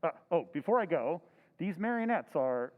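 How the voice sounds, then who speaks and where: A man playing an excitable, flustered husband. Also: fairly high pitch, moderate pace, in a large hall, narrow, tinny audio. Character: flustered henpecked middle-aged husband. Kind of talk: old-time radio drama recreation.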